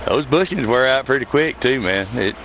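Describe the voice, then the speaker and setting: A man's voice: mid-range voice, expressive, normal speed. A man chatting casually over gameplay in a folksy, rambling way. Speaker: game streamer, host. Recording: loud vehicle engine noise behind voice. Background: idling truck engine, game vehicle sounds.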